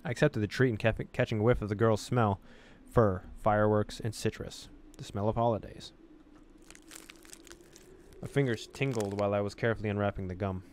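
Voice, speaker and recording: A man's voice: fairly low pitch, steady tone, brisk, darkish tone, slight background noise. A man hosting a let's-play, reading story text expressively and dropping in casual asides. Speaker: gaming video host.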